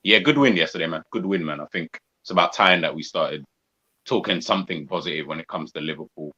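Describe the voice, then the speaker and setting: A man's voice: deep voice, fast, bright, forceful tone, breathy edge, highs cut off. A man who is a guest on a football show, talking in a laid-back, slangy way. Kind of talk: casual chat.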